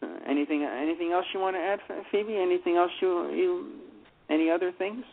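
A man's voice: sits high, fairly quick, gravelly. A man talking about how he adapted a play, rambling with many restarts and fillers. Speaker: playwright discussing his adaptation. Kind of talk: casual radio discussion. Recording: phone-line radio call.

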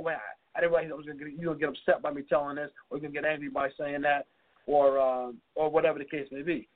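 A man co-hosts a talk show, telling stories from his own life in a chatty, conversational way. He talks fast and sits a little higher than most men. The audio is narrow and thin, like a phone call. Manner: quick, conversational, tells personal stories. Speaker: co-host.

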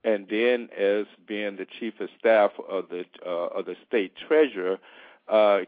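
A man co-hosting a call-in radio show as interviewer, asking rambling, halting questions full of fillers. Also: lowish voice, no echo. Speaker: radio co-host and newspaper reporter. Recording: dry, tinny phone line.

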